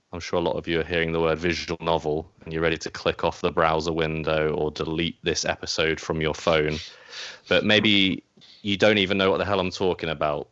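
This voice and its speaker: A man's voice: low voice, fairly bright tone, clean tone, no echo, dull audio. A man hosting a podcast in a casual, chatty way. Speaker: podcast host. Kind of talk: casual podcast chat and introduction.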